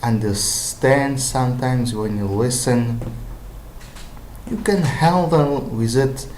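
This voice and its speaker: A man's voice: mid-range voice, takes it slowly, darkish tone, a little hiss or hum. A man with an Israeli accent and Hebrew as his first language, giving language-learning advice in a hesitant, casual monologue full of fillers and restarts. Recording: roomy echo.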